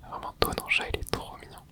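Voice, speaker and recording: A host whispering casual ASMR commentary over gameplay, reacting excitedly and chatting to viewers. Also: moderate pace. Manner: casual, chatty, self-correcting. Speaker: channel host.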